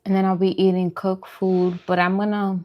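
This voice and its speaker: A woman's voice: medium pitch, level tone, moderate pace, highs cut off. A woman chatting casually on a livestream as host while she eats, informal and self-deprecating in her manner. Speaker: vlogger hosting a live mukbang stream.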